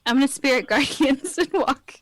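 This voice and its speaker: A woman with a somewhat high voice plays at a tabletop game, asking the game master questions in a hesitant, giggly way. Her sound is dry and close, with no room around it. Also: moderate pace, no echo. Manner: giggly, hesitant, lots of likes. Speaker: player. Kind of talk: casual tabletop game play.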